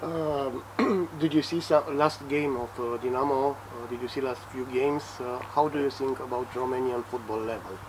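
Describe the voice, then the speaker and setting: A man's voice: medium pitch, fairly even intonation, moderate pace, hardly any pauses. A man asking brief, hesitant interview questions. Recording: distant voice with background noise.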